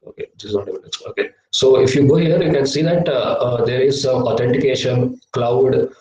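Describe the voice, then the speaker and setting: A young man teaching a live online coding lesson, hesitant with many filler sounds, his voice slightly low from a cough. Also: a little fast, dark tone, lo-fi sound. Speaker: workshop instructor. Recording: echoey, distant laptop mic.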